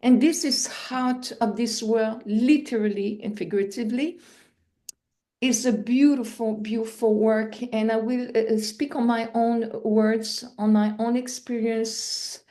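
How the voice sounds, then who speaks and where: A middle-aged woman with a Quebec French accent speaks in a slightly high voice, as a guest sharing spiritual experiences. She is an animated storyteller who acts out little scenes. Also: unhurried. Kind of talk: spiritual interview.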